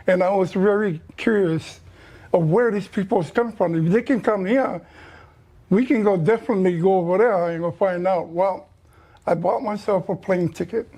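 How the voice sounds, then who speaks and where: High-ish voice, hawaiian pidgin accent, guy talking story plain and simple, hawaiian first language. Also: normal speed, dark tone, slightly rough. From Niihau, Hawaii. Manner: plain-spoken, short answers. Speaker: guest. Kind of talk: casual storytelling.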